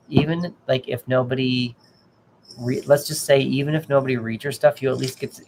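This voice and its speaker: Gravelly man giving a tarot reading. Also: mid-range voice, a little hiss or hum, rough recording. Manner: gentle, reassuring, lots of restarts. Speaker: tarot reader. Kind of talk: live tarot reading, casual advice.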